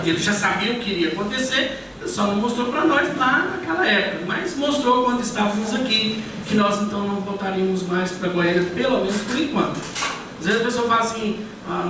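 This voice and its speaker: A middle-aged man with a Goiás Brazilian accent, preaching as a guest pastor in a relaxed, conversational way with many fillers. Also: high-pitched, recorded from a distance. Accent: Goiás Brazilian Portuguese. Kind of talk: church sermon. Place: church hall. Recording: echoey church hall, picked up from afar, noisy.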